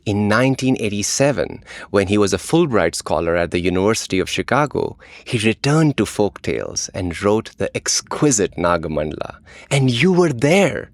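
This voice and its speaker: Low-voiced Indian man hosting, dry sound. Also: sing-song, normal speed, no echo. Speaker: podcast co-host. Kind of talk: scripted podcast narration.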